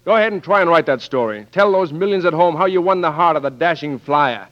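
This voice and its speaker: An actor playing a brash, romantic American flyer, slangy and sardonic, in 1940s radio drama style. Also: male voice, a little high, animated, fast talker, close to an everyday voice. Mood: bitter, sarcastic. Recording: muffled, noisy 1940s radio recording.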